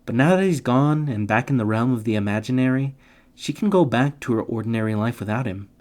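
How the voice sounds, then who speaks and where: A man's voice: medium pitch, fairly quick, darkish tone. A man reading a scripted narration in a playful, tongue-in-cheek way, slipping slangy comic asides into it. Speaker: narrator of literature summary videos.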